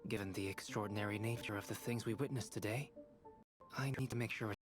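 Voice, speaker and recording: A man voicing a courteous, gently reassuring young scholar in a video game, measured and formal. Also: lowish voice, fairly even intonation, music in the background, lightly acted. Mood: calm, reassuring.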